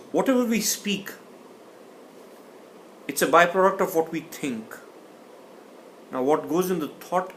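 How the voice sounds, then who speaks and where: A man's voice: fairly high pitch, a little hiss or hum. A man teaching a communication class, speaking thoughtfully and often restarting or repeating words. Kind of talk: explanatory lecture.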